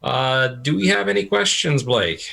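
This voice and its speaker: A man's voice: medium pitch, expressive, measured pace, creaky, bright, forceful tone. A man acting as host of an online club meeting, polite and orderly in an informal way.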